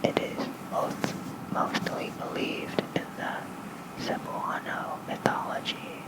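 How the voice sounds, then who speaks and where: An ASMR host reading lore as a bedtime story in a soft, breathy whisper, gentle and soothing, close to a fluffy microphone. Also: rough recording. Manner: slow, gentle, soothing delivery. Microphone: close fluffy-covered microphone.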